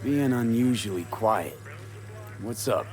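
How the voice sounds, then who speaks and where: An actor playing a wry, street-smart young mercenary in a video game, talking in a casual, clipped way. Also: male voice, medium pitch, animated, slow, a little mellow, soft background music. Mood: easygoing.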